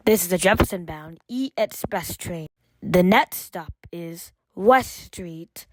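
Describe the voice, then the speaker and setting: A woman reading scripted train announcements as an automated announcer, in measured, formulaic phrases with separate segments. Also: fairly low pitch, no room sound, rough recording.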